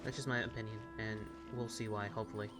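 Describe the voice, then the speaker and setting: A man's voice: lowish voice, fairly even intonation, fast talker. A man giving informal walkthrough commentary on a game, thinking aloud as he goes. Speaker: gaming video commentator. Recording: loud game music behind the voice.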